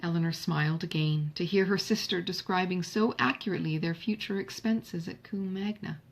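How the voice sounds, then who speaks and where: A woman narrating a classic novel as an audiobook reader, in an expressive scripted literary style. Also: lowish voice, normal speed, gravelly.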